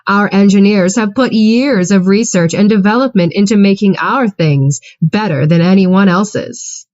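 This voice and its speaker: A woman announcer reading a parody commercial in a smooth sales-pitch manner. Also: unhurried, a little mellow, no echo, lo-fi sound. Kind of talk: mock commercial read.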